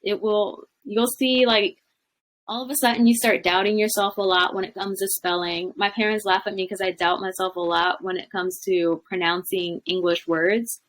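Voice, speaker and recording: A young woman talks on a video call in a casual, chatty way. She piles up fillers and restarts and laughs easily. Her voice sits at a medium pitch. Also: flat delivery, moderate pace. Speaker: language coach, guest. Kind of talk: casual interview chat. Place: home room with bookshelves.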